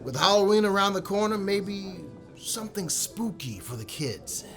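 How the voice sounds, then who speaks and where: An easygoing network executive, voiced by a man in an audio drama, flattering and relaxed. His voice sits a little higher than most men's. Faint music plays behind him. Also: normal speed. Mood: breezy. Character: smooth male network executive, breezy.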